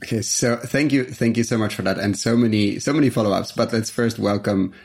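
A man's voice: lowish voice, a little fast, gravelly, darkish tone, husky. A man hosting a podcast, interviewing casually in a chatty way and often repeating words as he goes. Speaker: podcast host.

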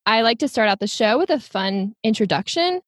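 A young woman in her twenties from Texas hosting a podcast from a home closet over a video call, upbeat and quick to laugh as she asks her questions. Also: fairly high pitch, animated, no echo, studio quality. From Houston, Texas. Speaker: podcast host. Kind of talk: scripted-sounding interview questions.

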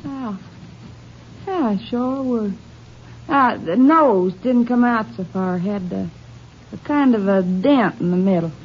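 An actress playing a folksy rural cafe worker in a radio drama, with a rural Southern American accent and homespun country talk. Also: female voice, a little high, animated, slow, pauses often. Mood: eager, helpful. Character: folksy rural cafe woman, eager witness. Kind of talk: 1950s radio drama acting. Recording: muffled, slightly noisy old radio recording.

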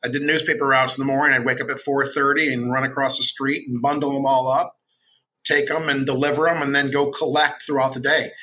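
A middle-aged American man talking as a podcast guest, relaxed, friendly and chatty. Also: mid-range voice, a little fast, slight background noise, poor audio. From United States. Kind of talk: casual interview.

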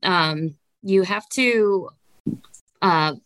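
Bright-toned woman explaining in measured steps. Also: on the low side, no room sound, poor audio. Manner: measured, explanatory, frequent "um" fillers. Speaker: studio director. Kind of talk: podcast interview answers.

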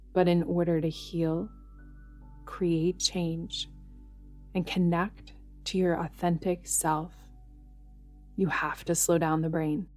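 A woman guides a meditation in a fairly low voice, speaking very slowly and gently. She leaves long, soothing pauses between her instructions. Music plays behind her. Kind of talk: guided yoga nidra meditation. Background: background music.

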